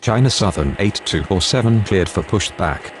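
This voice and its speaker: A man's voice: low voice, lively intonation, normal speed. A man playing an airline pilot or controller in a game, reciting scripted air traffic phraseology. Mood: procedural.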